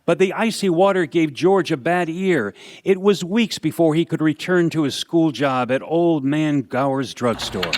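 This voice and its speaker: A man playing a calm, authoritative senior angel with a measured, kindly manner in a radio play. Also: on the high side, slight background noise, close to an everyday voice. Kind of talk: live radio play acting.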